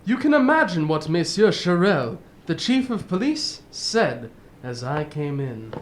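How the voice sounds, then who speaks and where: A woman playing a genial, easily flustered older gentleman storyteller in a radio drama, with a proper, old-fashioned way of talking. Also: lowish voice, very animated, unhurried, noisy background. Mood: grave. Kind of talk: radio drama narration and dialogue.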